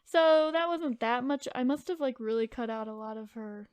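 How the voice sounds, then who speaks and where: A woman's voice: fairly high pitch, moderate pace, smooth, no room sound. A woman co-hosting a podcast, moving between informal chat and reading aloud from notes. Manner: informal, filler-heavy, hedging retelling. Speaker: podcast co-host telling the story.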